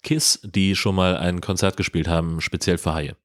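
Deep-voiced man hosting, close dry sound. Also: fairly even intonation, normal speed, dry recording. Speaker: podcast host. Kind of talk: casual chat and moderation.

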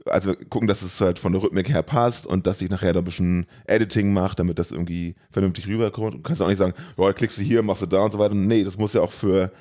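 A man's voice: low voice, steady tone, fast talker, few pauses, dark tone. A man co-hosting a podcast in casual chat, talking in an enthusiastic, colloquial way. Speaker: podcast co-host.

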